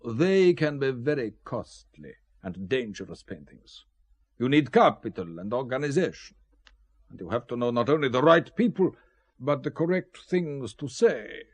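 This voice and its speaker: An actor playing a suave, menacing Italian count and shady art dealer in a spy thriller audio drama, urbane, deliberate and guarded. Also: male voice, mid-range voice, expressive, natural voice.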